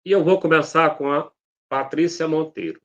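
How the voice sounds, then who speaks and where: A man's voice: a little high, moderate pace, fairly bright tone, lo-fi sound. A middle-aged man, a teacher hosting the session and introducing his guests in an informal, friendly way. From Brazil, Maranhão. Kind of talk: casual presenting.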